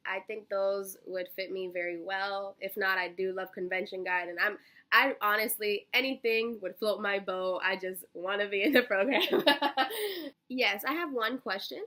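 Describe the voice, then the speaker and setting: A woman's voice: medium pitch, normal speed, sharp tone. A woman of about twenty-one with an American accent, answering casual interview questions in a bubbly, effusive, chatty manner. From Miami, Florida, United States. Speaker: job interview candidate, college student.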